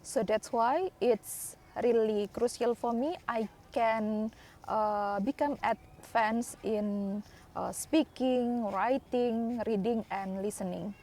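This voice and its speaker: A young woman from East Java speaking English with an Indonesian accent, Javanese her first language, in a hesitant personal vlog full of pauses and fillers. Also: fairly high pitch, dark tone. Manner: hesitant, many uh fillers, non-native grammar. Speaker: English learner.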